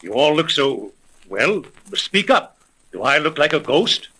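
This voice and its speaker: A man's voice: on the high side, very animated, measured pace, sharp tone, breathy edge, full character voice, poor audio. An actor playing an imperious, impatient rich businessman in a 1930s radio drama, speaking formally and emphatically. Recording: vintage 1930s radio recording.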